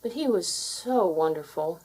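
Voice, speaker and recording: A woman playing a sweet, gentle young heroine in a children's fairy-tale storybook. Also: on the low side, lively intonation, measured pace, slightly rough, rough recording. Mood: dreamy apology.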